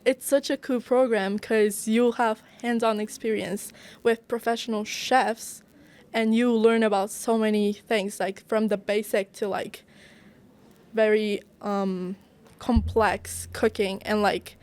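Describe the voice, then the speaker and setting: A young woman from Brazil, raised in Japan, speaks as a student guest in a relaxed interview. She talks earnestly and keeps leaning on "like" between thoughts. Her voice sits at an ordinary middle pitch. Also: slow pace, clean tone. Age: young adult. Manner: earnest, uses many "like" fillers. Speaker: guest, culinary arts student. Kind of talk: casual interview chat.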